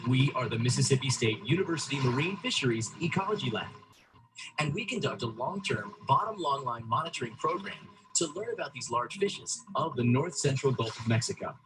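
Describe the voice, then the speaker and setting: A man reading scripted narration for an explainer video in a steady, explanatory way. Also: medium pitch, brisk, noisy background.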